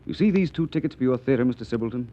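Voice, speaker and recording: A man's voice: mid-range voice, expressive, rapid-fire, mellow, close to an everyday voice. An actor playing an unflappable, urbane male private detective with wry, formal wording, in 1940s radio mystery style. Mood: cool, probing. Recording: muffled vintage radio recording.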